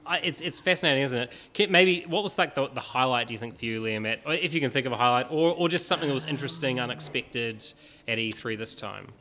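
A man's voice: mid-range voice, fast talker, sharp tone. A man with an Australian accent hosting a casual podcast, chatty and jokey, talking through a cold. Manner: jokey, chatty, with fillers and restarts. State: has a cold. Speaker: podcast host. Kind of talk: casual chat. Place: bookshop, recorded live.

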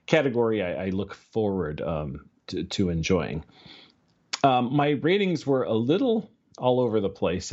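Deep-voiced man chatting on a podcast. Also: highs cut off. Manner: rambling, self-correcting, candid. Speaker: podcast co-host. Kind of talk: casual podcast chat.

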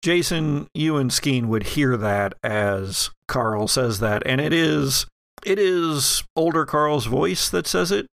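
Guy running a horror tabletop game, bit of vocal fry, very dry sound, feels his way through sentences. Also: fairly high pitch, animated, slow pace, a bit bright, dry recording. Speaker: game master. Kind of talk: narrating an actual-play horror tabletop game.

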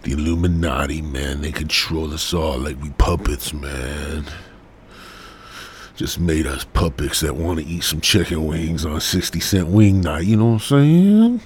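A man's voice: very deep voice, expressive, unhurried, a little mellow, slight background noise. A congested man, hosting a rambling, profane podcast monologue. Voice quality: raspy. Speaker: podcast host.

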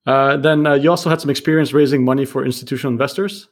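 Guy hosting a podcast, steady mid voice, chatty questions. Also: mid-range voice. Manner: measured, scripted intro then chatty questions. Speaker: podcast host. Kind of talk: interview podcast. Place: Kuala Lumpur.

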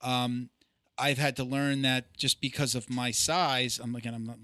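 A man's voice: mid-range voice, flat delivery, moderate pace, pushed and bright, dry recording, studio quality. A middle-aged man hosting a podcast, telling stories in a rambling, self-reflective way. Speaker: podcast host. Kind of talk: casual chat.

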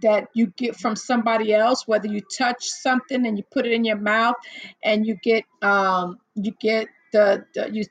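A middle-aged woman hosting a casual livestream chat, rambling and chatty with lots of fillers and laughs, a little hoarse as she loses her voice. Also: on the high side, little pitch movement, moderate pace, dry recording. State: losing her voice, slightly hoarse. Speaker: livestream host. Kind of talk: casual chat with live viewers.

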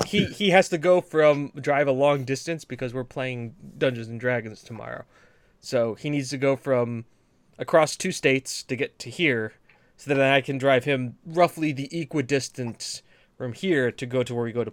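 A man's voice: mid-range voice, normal speed, no room sound. A man from New England co-hosting a gaming podcast, chatting casually in long, rambling, joking rants. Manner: rambling, rant-prone, joking. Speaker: podcast co-host. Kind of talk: casual chat.

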